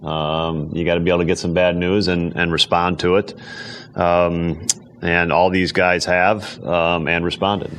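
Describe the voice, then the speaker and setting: A man with a low voice speaks in an unscripted sports interview. He is measured and halting, feeling his way through each answer. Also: flat delivery, fairly bright tone.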